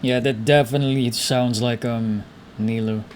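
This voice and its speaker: A man's voice: mid-range voice, fairly even intonation, moderate pace, a little mellow. A man streaming a video game with casual, slangy commentary, musing aloud as he plays. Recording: noticeable background noise, game sound. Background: video game audio.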